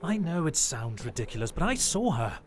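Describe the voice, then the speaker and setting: Man acting a frightened sailor, wildly swinging higher voice. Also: very animated, noisy background. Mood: shaken. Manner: halting, stammering, urgent. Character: frightened male sailor, pleading, anxious survivor. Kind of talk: audio drama acting.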